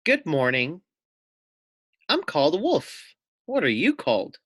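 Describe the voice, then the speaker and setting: A man's voice: fairly high pitch, huge pitch swings, takes it slowly, fairly bright tone, no room sound, studio quality, pitched above the performer's own voice, exaggerated voice. A man voicing a hungry, scheming but gullible young wolf in a children's storybook. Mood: sly, insistent.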